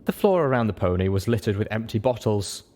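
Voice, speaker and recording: A man's voice: on the low side, lively intonation, fast, mellow. A man reading scripted first-person story narration as an audiobook-style narrator. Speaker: narrator of a fan fiction reading. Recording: faint background noise.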